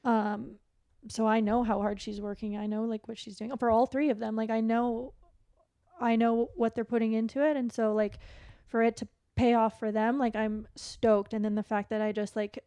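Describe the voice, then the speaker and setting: A woman guest on a podcast, an athlete and coach, talking candidly and casually in a halting, reflective way full of "like". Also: fairly high pitch, level tone, dark tone, clear, resonant tone, no room sound. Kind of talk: candid casual interview chat.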